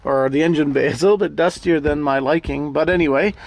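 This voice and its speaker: A man's voice: fairly high pitch, fast talker, creaky, no room sound. A man hosting a vlog, chatting casually and a bit ramblingly to his viewers as he reviews gear. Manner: chatty, rambling, addresses viewers as guys and gang. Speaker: host of a car gear video series.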